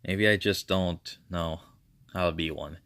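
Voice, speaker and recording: A weary young superhero, acted by a man broods over whether he is cut out for heroism. His voice is low and subdued, in the manner of a storybook monologue. The recording is dry. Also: steady tone, measured pace, fairly bright tone, clear, resonant tone, no room sound. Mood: brooding, doubtful. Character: weary, self-doubting young male superhero.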